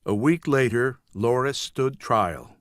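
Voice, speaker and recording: A man narrating scripted fiction as an audiobook reader, with grave, measured storytelling. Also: mid-range voice, lively intonation, dry recording, clean studio sound.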